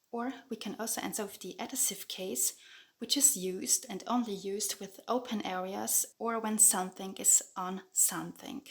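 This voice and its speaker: A woman giving a scripted grammar lesson voice-over, speaking clearly and at a measured pace, with slow repetition of example sentences. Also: mid-range voice. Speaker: Finnish language teacher.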